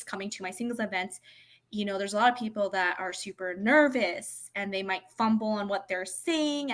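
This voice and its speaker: A woman's voice: medium pitch, fairly bright tone. Woman host, casual and chatty, asks curious questions with lots of "like" and "um.". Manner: chatty, curious, filler-heavy. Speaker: interview host. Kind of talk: casual interview.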